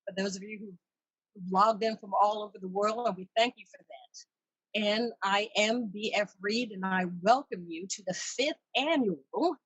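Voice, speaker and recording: A woman's voice: fairly high pitch, husky, no echo, low-quality recording. A woman hosting an online meeting in a casual, chatty way with self-deprecating humor, speaking over a video call. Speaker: exhibition chair hosting a virtual meeting. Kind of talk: casual welcome and chat. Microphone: tablet on a video call.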